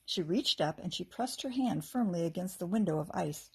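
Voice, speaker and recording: An American woman, a teacher, reads a children's book aloud in an animated, expressive way, acting out the dialogue. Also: on the low side, normal speed, breathy edge. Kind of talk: expressive storybook narration.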